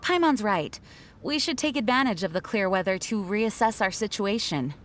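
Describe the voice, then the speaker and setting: A woman voicing a dutiful, composed young knight leader who speaks formally and earnestly in an animated video game. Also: medium pitch, close to an everyday voice. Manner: formal, measured phrasing. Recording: music behind.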